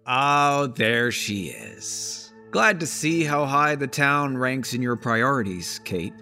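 Bitter, forceful grieving father. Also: mid-range voice, very animated, vocal fry, faint music behind, full character voice. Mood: sarcastic, accusing. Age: middle-aged. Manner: accusatory, rhetorical, drawn-out emphasis. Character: bitter grieving father rousing a town against his enemy. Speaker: actor in a horror audio drama. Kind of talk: dramatic public speech in audio drama.

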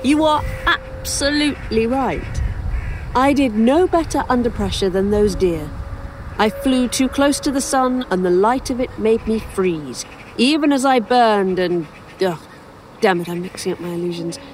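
High-voiced, wry, inquisitive author. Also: female voice, lively intonation, a little slow, a little hiss or hum. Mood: defiant, wry. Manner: hesitant, wry, musing. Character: inquisitive, wry, rambling woman author facing death. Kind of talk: audio drama acting.

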